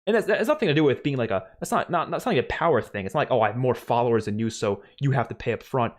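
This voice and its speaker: A young man with a light New York accent answers viewer questions on a home live stream, talking in an animated, swearing, easygoing way. He is close on a boom mic in a small room. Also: racing. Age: young adult. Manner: animated, swearing, laughs a lot. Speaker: streamer host. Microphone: studio mic on boom, headphones.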